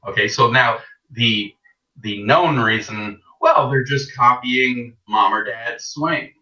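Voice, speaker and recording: A man's voice: medium pitch, takes it slowly, sharp tone. A man from Canada, a golf coach, telling stories in a casual interview and laughing often as he rambles. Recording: distant, echoey, dull sound.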